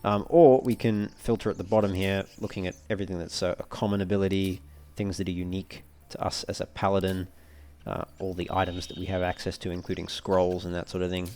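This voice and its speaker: Male let's player, low-pitched, talking casually through a game and reasoning aloud as he goes. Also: little pitch movement, fast talker, darkish tone, slight background noise. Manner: thinks aloud while reading menus. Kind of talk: casual gameplay walkthrough commentary.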